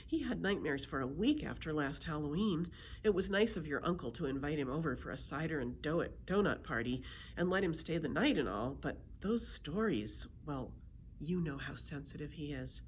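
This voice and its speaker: A woman voicing a worried, protective mother in a storybook, gently admonishing. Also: deep voice, expressive, normal speed, slight background noise. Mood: worried reproach.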